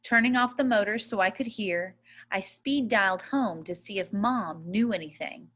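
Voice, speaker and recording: A woman reading a first-person novel aloud as a storyteller, in a measured, expressive way. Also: mid-range voice. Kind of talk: audiobook-style readaloud of a first-person children's novel.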